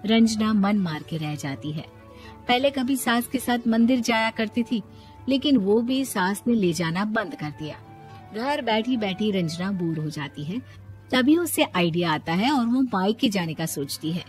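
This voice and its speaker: A woman's voice: mid-range voice, expressive, measured pace. A woman reading scripted narration for a domestic moral story as its storyteller. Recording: music under the voice.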